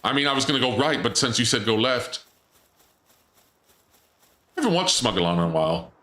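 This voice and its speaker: A man's voice: medium pitch, sing-song, fairly quick, bright, forceful tone, roomy sound. A man streaming a game, chatting casually and joking while he reads chat aloud. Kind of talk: casual gameplay commentary.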